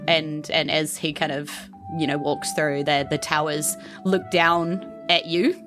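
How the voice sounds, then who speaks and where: A woman's voice: deep voice, little pitch movement, unhurried, over music. A woman with a New Zealand accent improvising narration as game master of a tabletop roleplaying game.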